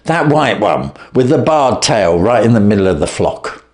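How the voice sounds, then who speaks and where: A man puts on a frightened young thief, his voice pitched up and swinging widely as he pleads. The confession pours out in a hurried, desperate rush. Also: very animated, a little mellow. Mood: anxious.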